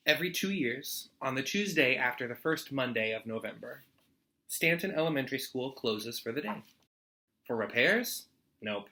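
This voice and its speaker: A man's voice: medium pitch, bright, forceful tone. An American man hosting a storytime, reading a children's picture book aloud expressively to students.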